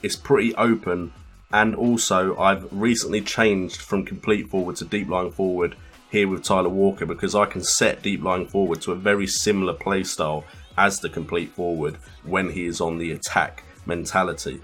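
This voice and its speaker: A man's voice: low voice, fairly even intonation, normal speed, fairly bright tone, husky, soft background music. A man hosting a gaming channel, giving casual let's play commentary.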